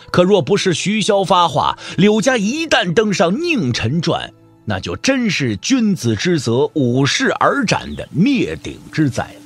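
A man narrating a dramatic scripted audio drama as storyteller, over music. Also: on the high side.

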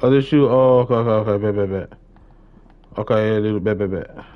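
A man's voice: fairly low pitch, fairly quick. A young American man chatting casually as he live-streams a game, talking in slang and repeating short phrases. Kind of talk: casual chat while gaming. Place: indoors, gaming livestream setup with webcam. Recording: slightly dull, muffled mic.

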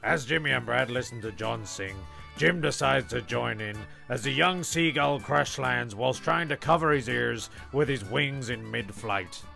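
A man's voice: medium pitch, unhurried, gravelly, bright, forceful tone, faint music behind, full character voice. A man playing an irritable, sardonic narrator of an island comedy, telling it like a storybook with grumpy asides. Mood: dry narrating. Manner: exasperated, sardonic. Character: irritable, foul-mouthed male narrator of an island farce. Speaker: narrator of a comedy audio series.

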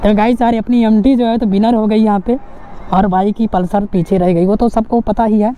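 A young man doing casual commentary while riding a motorbike, excited and teasing his friend with constant banter. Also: high-pitched, normal speed. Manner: excited teasing banter, keeps saying "bro". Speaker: motovlogger. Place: outdoors on a motorbike on an open highway. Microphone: action camera mounted on the rider. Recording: loud wind and road noise.

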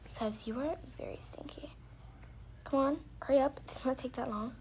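Woman as exasperated mother, stern and scolding, highish female voice, slightly noisy home roleplay. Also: moderate pace, darkish tone. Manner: bossy, scolding, impatient. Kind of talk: pretend-play family roleplay.

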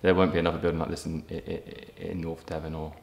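A man in his thirties, a property developer giving a documentary interview, speaking in a measured way with frequent ums and restarts. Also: extremely low pitch, little pitch movement, gravelly, a little mellow, a little hiss or hum. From England. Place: empty brick factory room, indoors, large. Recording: echoey empty room, faint ambience.

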